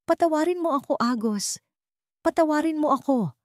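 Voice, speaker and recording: Dying wife, voiced by a female narrator: desperate, remorseful, confessional, pleading, very expressive intonation in a dramatic story. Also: high voice, normal speed, dry recording, clean studio sound, pitched above the performer's own voice. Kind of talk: dramatic story character reading.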